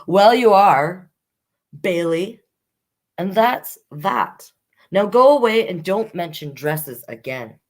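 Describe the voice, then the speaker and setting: A woman's voice: lowish voice, lively intonation, slow, voice pushed up. A woman voicing a stern, dismissive storybook father who scolds his child firmly and curtly. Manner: firm, emphatic, curt. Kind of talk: picture-book character voice.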